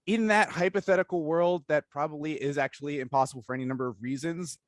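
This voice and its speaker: A man hosting a casual discussion interview, talking informally and haltingly as he thinks out loud in rambling questions. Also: fairly high pitch, no echo, studio quality. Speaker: interview host.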